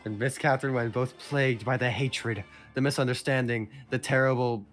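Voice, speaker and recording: A man's voice: mid-range voice, steady tone, moderate pace, soft background music, natural voice. A man voicing a bitter, suffering old woman servant, telling her story solemnly in an amateur game dub. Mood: bitter, grieving.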